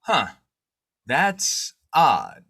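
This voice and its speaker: A man playing a composed, inquisitive newspaper boss in an old-time radio drama, crisp and businesslike. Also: mid-range voice, huge pitch swings, drawn-out words, bright, forceful tone, no echo, studio quality, heavily acted.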